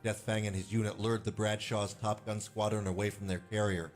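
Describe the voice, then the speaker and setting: An actor playing a stern, weary squadron commander giving grave, hard-nosed speeches to pilots in a space game. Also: male voice, fairly low pitch, flat delivery, fairly quick, lightly acted. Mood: grim, resolute. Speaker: military commander briefing pilots. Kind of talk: video game voice acting, military briefing speech. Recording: music behind the voice.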